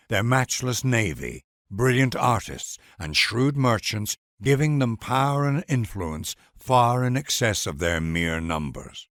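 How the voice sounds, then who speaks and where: A man's voice: medium pitch, dry recording, clean studio sound. A man delivering grand scripted narration for a strategy game in a formal, reverent, theatrical manner.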